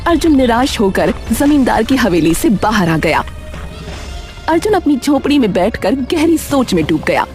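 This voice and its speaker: A woman narrating a scripted animated story as a storyteller. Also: on the high side, moderate pace, faint music behind.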